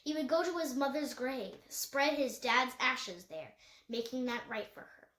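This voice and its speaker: A woman with a fairly high voice reads a story aloud in a noticeably echoey room. She narrates from the page and now and then trips on a word and corrects herself. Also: a little slow, a bit bright. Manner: occasional stumbles and self-corrections. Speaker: audiobook reader.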